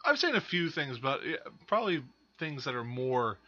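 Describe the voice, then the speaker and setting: A man's voice: mid-range voice, big pitch swings, moderate pace, bright, forceful tone, muffled recording. A man co-hosting a podcast, chatting casually about films and chipping in with wisecracks. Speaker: podcast co-host. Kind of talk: casual film chat.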